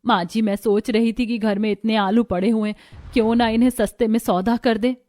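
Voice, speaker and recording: A woman's voice: on the high side, steady tone, fairly quick, warm tone, no room sound, lightly acted. A woman playing a poor, earnest mother who works as a housemaid, speaking respectfully and pleadingly in a moral story. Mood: worried, sorrowful. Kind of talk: dramatized Hindi moral story acting.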